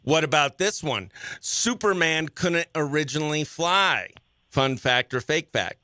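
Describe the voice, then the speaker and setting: A man's voice: a little high, animated, unhurried, sharp tone, dry recording, studio quality. An American man hosting a morning radio show, bantering informally and running call-in games in an upbeat, chummy way. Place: small radio station studio.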